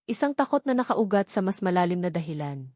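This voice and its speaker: A woman's voice: on the low side, sing-song, fast, darkish tone, no echo, studio quality. A woman with a Filipino accent narrating a dramatic love story from a script.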